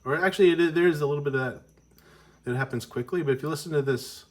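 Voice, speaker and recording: A man's voice: fairly high pitch, heavy background noise. A man giving an informal music tutorial, thinking aloud and often interrupting himself as he explains. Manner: halting, self-interrupting, explanatory. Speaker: music teacher, video host.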